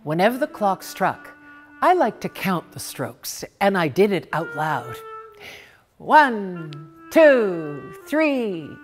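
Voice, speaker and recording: Expressive woman storyteller with animated pitch. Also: soft background music. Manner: expressive, theatrical, deliberate. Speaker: story reader. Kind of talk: dramatic literary storytelling read aloud.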